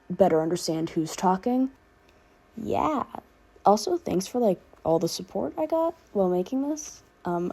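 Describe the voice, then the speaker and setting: A woman's voice: lowish voice, dark tone. A woman reading fan fiction aloud as an amateur narrator. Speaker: podfic reader and narrator.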